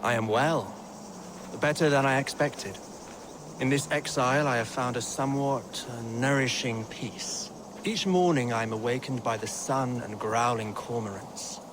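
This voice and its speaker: An actor playing a pious exiled king in humble disguise, speaking formally and with solemn, measured words in a video game cutscene. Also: medium pitch, noisy background, natural voice. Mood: serene.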